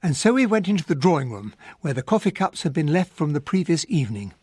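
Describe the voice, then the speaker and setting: Excitable English gentleman, upper-class accent, husky voice, dry close sound, earnest, radio drama. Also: fairly high pitch, quick pace, dry recording. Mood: reflective narration. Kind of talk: radio drama acting and narration.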